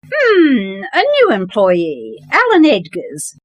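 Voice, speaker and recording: Woman as bossy high-voiced magic warehouse boss. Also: huge pitch swings, takes it slowly, no room sound, highs cut off, heavily acted. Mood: brisk, disdainful. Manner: no-nonsense, commanding. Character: brisk, bossy female owner of a magic supply warehouse. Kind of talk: radio play dialogue.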